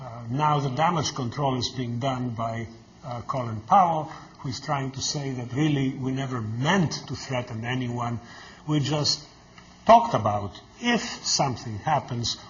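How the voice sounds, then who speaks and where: A man's voice: medium pitch, a little hiss or hum. An older man from Greece, a guest lecturer answering audience questions in a direct, emphatic, plain-spoken way. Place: lecture hall. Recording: slightly muffled lecture recording.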